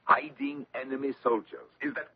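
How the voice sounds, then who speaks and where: Creepy smooth-talking interrogator in an old radio play, super animated pitch, kinda husky, fake polite. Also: male voice, normal speed, full character voice. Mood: coldly insinuating. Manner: mock-polite, pompous, insinuating. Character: sly, menacing male inquisitor with mocking courtesy. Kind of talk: old-time radio drama acting. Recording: dull, muffled old radio recording.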